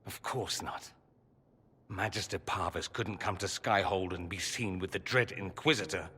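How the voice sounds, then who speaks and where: A man's voice: deep voice, normal speed, sharp tone, slightly rough, in a large hall, heavily acted. An actor playing a proud, sardonic young nobleman mage in a video game, articulate and theatrical, with a wounded, defiant edge. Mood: cold sarcasm. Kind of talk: dramatic video game voice acting.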